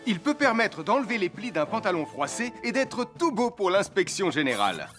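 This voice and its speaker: A kindly cartoon firefighter explains safety rules in a steady, reassuring way. His voice sits a little high. Lively children's music plays clearly beneath him. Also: normal speed. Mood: steady advice. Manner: calm, reassuring instruction. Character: Sam, a friendly adult male firefighter. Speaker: safety narrator. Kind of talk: French cartoon dub. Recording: studio voice with loud cartoon music.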